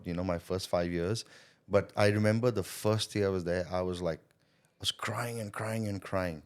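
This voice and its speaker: A man's voice: low voice, darkish tone. A middle-aged man from Thailand, a podcast guest, telling his story in a reflective, chatty way, often checking in with "you know" and "right?". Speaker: podcast guest, sales consultant. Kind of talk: casual interview storytelling.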